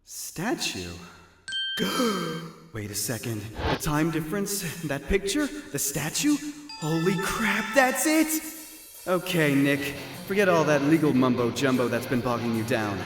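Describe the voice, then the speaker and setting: A man's voice: on the high side, animated, measured pace, over music. A man voicing a cocky, bumbling young defense attorney with flippant bravado in a comedic cartoon parody. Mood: flustered. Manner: flippant, sarcastic, stammering bravado.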